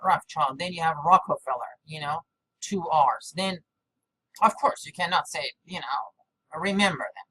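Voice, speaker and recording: A non-native English speaker giving a rambling, unscripted monologue in a halting, fragmented and repetitive way, jumping from thought to thought. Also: mid-range voice, a bit bright. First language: not English. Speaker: video maker. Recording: clearly noisy background.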